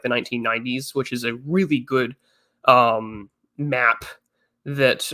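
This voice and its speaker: Man, twenties, bright tone, mid pitch, congested, casual stream chat. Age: 20s. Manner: chatty, reads chat messages aloud. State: slightly congested.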